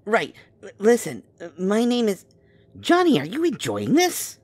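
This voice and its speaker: A man playing an exasperated, wheedling fast-talker trying to plead his case in an audio drama. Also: sits high, wildly swooping pitch, a little mellow, no room sound, exaggerated voice. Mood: alarmed.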